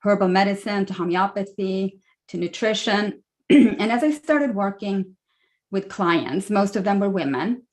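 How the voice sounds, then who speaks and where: A woman's voice: medium pitch, lively intonation, measured pace, a little mellow. A woman in her forties with a Swedish accent, chatting through a slide talk as a guest speaker on a video call. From Sweden. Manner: friendly, chatty, many fillers.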